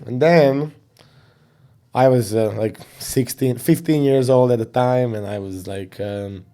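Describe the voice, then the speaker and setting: A young man from Serbia, a boxing coach, chatting casually as an interview guest in animated storytelling fashion. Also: medium pitch, unhurried. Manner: animated storytelling with slang fillers.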